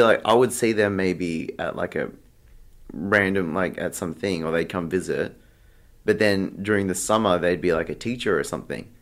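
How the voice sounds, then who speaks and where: An Australian man chatting casually as an interview guest, telling stories in a rambling, halting way full of filler "like". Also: lowish voice, creaky. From Australia, Sydney. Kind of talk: casual anecdotal chat.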